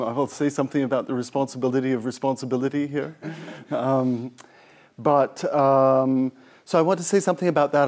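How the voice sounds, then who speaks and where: A man's voice: medium pitch, darkish tone. A middle-aged man from Scotland, a professor giving an informal talk in a lecture room on a lapel mic, rambling and self-deprecating with many fillers and restarts.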